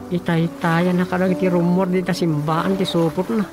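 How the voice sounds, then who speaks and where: Casting: curious provincial townsman, Ilocano accent, gravelly slightly high voice, radio drama with music underneath. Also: little pitch movement, moderate pace.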